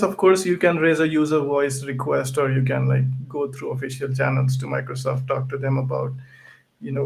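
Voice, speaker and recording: A man's voice: mid-range voice, gravelly, noisy background. A man hosting a tech podcast, talking casually and often ending his points with "right?". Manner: tags sentences with "right?". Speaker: podcast host and event organizer.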